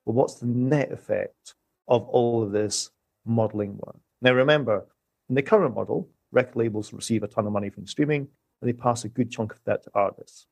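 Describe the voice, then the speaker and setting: A man guest on a podcast explaining economics in a measured way. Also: mid-range voice, vocal fry, darkish tone, breathy edge. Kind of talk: podcast discussion.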